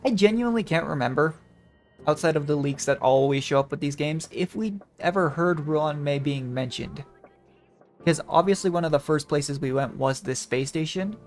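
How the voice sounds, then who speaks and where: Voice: mid-range voice, moderate pace. A game streamer chatting casually and thinking aloud in a rambling way, with music behind. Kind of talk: casual gaming livestream chat.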